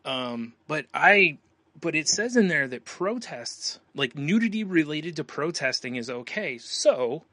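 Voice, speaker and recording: A man's voice: fairly high pitch, big pitch swings, a little slow, bright, forceful tone, dry recording. An American man hosting a podcast in a casual, loose, rambling way. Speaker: podcast host. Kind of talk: casual chat, show plugs.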